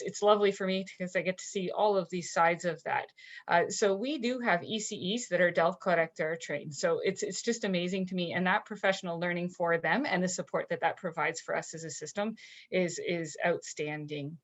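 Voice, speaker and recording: Measured, low-voiced woman consultant. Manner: measured, slightly halting. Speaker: French second language consultant. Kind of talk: panel discussion.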